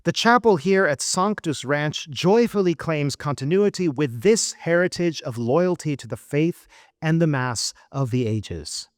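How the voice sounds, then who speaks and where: A middle-aged American man delivers a keynote address at a gala, earnest and emphatic, leaning on deliberate pauses. His pitch rises and falls with a lot of movement, and the sound is close and dry, with little room around it. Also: animated, no echo. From United States. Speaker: keynote speaker. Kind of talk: formal speech at a gala. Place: event hall.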